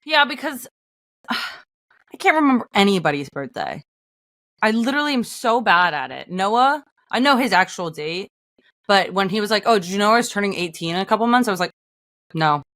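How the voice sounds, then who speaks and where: A woman's voice: medium pitch, expressive, normal speed, a bit bright, no echo, studio quality. A young adult woman about to start law school, co-hosting a podcast with her mother in a casual, chatty way full of "like" fillers. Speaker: podcast co-host, daughter. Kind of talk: casual chat.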